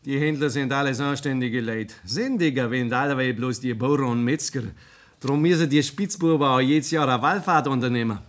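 An actor with a Bavarian accent playing a wily, argumentative livestock dealer in a radio play. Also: male voice, mid-range voice, moderate pace, natural voice. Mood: wily, defensive. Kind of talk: 1960s Bavarian radio comedy-drama dialogue.

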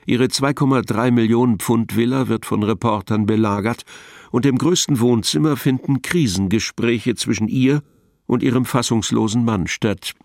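A man's voice: medium pitch, normal speed, vocal fry, darkish tone, dry recording, clean studio sound. A man reading scripted narration as the narrator of a radio drama.

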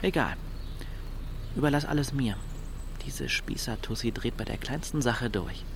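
A man's voice: medium pitch, a little slow, creaky, a little hiss or hum. An actor playing a cocky, scheming young small-town man in a radio drama, talking in a slangy, pushy, offhand way. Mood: scheming, goading. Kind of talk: naturalistic radio drama acting in German.

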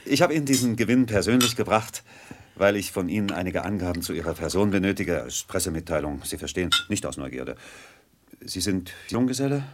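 A man's voice: low voice, expressive, brisk, background noise. A man playing a polite, formal company representative in a children's radio play, courteous and officious with small hesitations. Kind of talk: 1970s German children's radio play acting.

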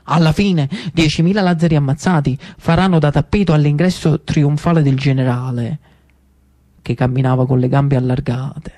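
A male theatrical storyteller. His voice sits high for a man. He narrates in a declamatory style laced with irony. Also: fairly even intonation, normal speed, a little mellow. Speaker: stage storyteller.

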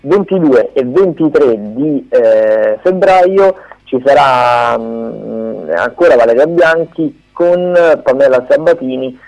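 A man chatting unscripted on the radio as he runs through theatre listings, halting and often correcting himself. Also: medium pitch, lively intonation, vocal fry, a little mellow, dull audio. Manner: hesitant, halting, self-correcting. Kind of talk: unscripted radio chat, event rundown.

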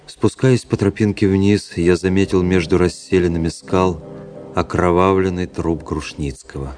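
An actor playing a proud officer who somberly recounts his duel, in measured, literary radio-drama narration. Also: low-pitched, steady tone, music in the background. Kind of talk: first-person dramatic narration in a radio play.

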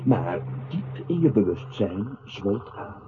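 A man's voice: fairly low pitch, big pitch swings, takes it slowly, noisy background. A man acting a mocking, accusing inner voice in a literary radio play, with a sardonic, theatrical manner. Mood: intense, scornful. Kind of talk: literary radio play narration, addressing the protagonist. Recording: tinny band-limited radio drama with music.

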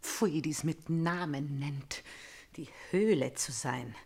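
An actress playing a bitter, hate-consumed princess in a classical radio tragedy, scornful and declamatory, moving between hushed menace and loud cries. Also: female voice, low-pitched, slow pace, slightly rough, exaggerated voice. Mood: disgusted. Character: bitter, hate-consumed princess obsessed with avenging her father. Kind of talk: classical German stage-tragedy radio acting.

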